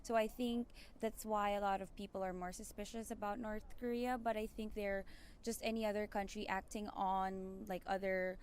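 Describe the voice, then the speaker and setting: A woman's voice: medium pitch, fairly even intonation, moderate pace. A young adult woman with a Filipino accent, answering informally in a loose, rambling way. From Philippines. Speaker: master's student interviewee. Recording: faint ambient background noise.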